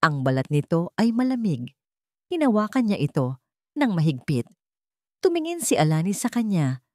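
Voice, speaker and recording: Female story narrator, low register, highly animated delivery, emotive and measured, dry sound. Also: no room sound. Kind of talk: dramatic scripted story narration.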